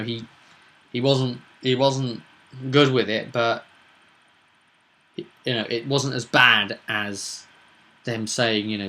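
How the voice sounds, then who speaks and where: A man casually recapping a tabletop roleplay session as game master, telling it in a halting, emphatic way with dramatic pauses. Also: lowish voice, pushed and bright, slight background noise.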